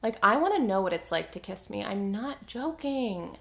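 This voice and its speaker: A woman's voice: medium pitch, animated, moderate pace, vocal fry. A young adult woman talking casually to camera, reviewing her skincare favorites with a chatty, enthusiastic manner full of filler words. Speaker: beauty vlogger.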